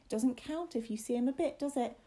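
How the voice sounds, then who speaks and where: A woman's voice: a little high, fairly quick, dark tone, voice pushed up, close to an everyday voice. A woman voicing a frightened, stubborn young boy in a storybook, with an insistent, pleading manner. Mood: anxious, insistent.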